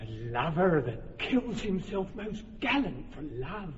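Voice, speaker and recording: A fussy, earnest carpenter-director. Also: male voice, fairly high pitch, big pitch swings, slow, a little hiss or hum. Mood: directing. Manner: earnest, persuasive, organizing. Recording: dull lo-fi radio recording with hall reverb.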